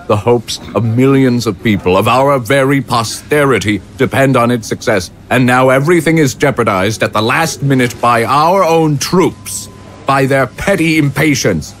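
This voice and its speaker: A stern consul acted by a man commands in a formal, authoritative voice of medium pitch. He is imperious and impatient, in audio drama style, with slight background noise. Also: normal speed, a bit bright, close to an everyday voice. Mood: angry, commanding.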